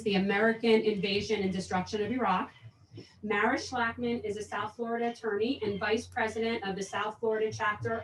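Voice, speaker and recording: A woman hosts a panel and introduces the speakers in a relaxed, chatty way. Her voice sits at a middle pitch and sounds roomy, picked up from some distance away from the mic, with faint ambient noise behind her. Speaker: event host and moderator. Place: covered outdoor patio with plants.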